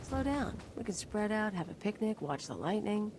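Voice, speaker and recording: Teasing cool agent lady from a space game, actress doing it, medium pitch, slight noise. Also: sing-song, normal speed, darkish tone, lightly acted. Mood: cool, sardonic. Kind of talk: video game character dialogue.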